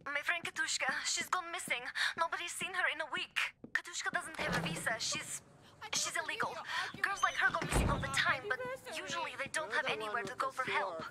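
A woman playing a worried, pleading young hostess in a video game, earnest and halting. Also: high-pitched, very noisy, close to an everyday voice. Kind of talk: dramatic video game acting. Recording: loud music over the voice.

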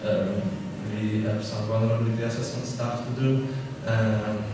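Young Hungarian-accented man, halting conference speaker, booming hall, distant mic, noisy. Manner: hesitant, many fillers and restarts. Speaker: hackerspace member giving a talk. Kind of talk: conference talk. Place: stage in a large hall. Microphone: room mic from a distance. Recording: echoey hall, distant mic, steady noise.

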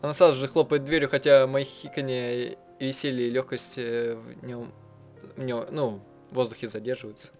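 A man's voice: medium pitch, little pitch movement, a little mellow. A man translating a visual novel aloud and commenting casually, often stopping to rephrase as he goes. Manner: halting, often rephrasing mid-sentence. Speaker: game player translating and voicing a visual novel. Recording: music playing behind the voice. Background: game soundtrack music.

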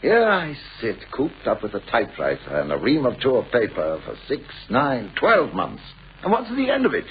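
A man's voice: mid-range voice, huge pitch swings, normal speed, slight background noise. An actor playing a wry, self-doubting male novelist in a 1960s radio play, urbane and wordy. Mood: wry complaint. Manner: urbane, wordy, wryly complaining. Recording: muffled, raspy old radio recording.

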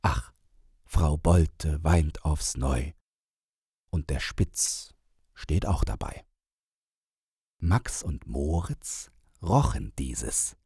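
A man narrating a rhymed children's story as an audiobook, in a deliberate, theatrical storytelling manner. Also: very deep voice, animated, warm tone, husky, no room sound, studio quality.

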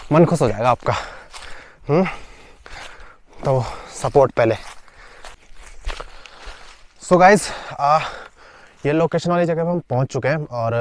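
A young man talks upbeat and chatty to his viewers, calling them guys as he sets up camp. His voice sits at an everyday middle pitch. It is recorded outdoors in a forest on a small handheld camera. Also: no room sound. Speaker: camping vlogger. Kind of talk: casual vlog talk to camera. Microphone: handheld action camera.

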